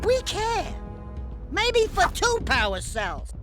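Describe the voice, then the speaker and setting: A man's voice: extremely high pitch, wildly swooping pitch, slow pace, heavily acted. A man voicing a wisecracking small furry male animal sidekick in a video game, full of sarcastic, mocking quips. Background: game music.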